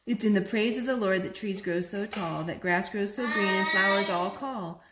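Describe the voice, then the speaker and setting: A woman in her thirties reading a picture book aloud to small children in an expressive, singsong way at home. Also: medium pitch, moderate pace. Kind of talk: storytime read-aloud. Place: living room at home, on a sofa. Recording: roomy, noisy home video recording.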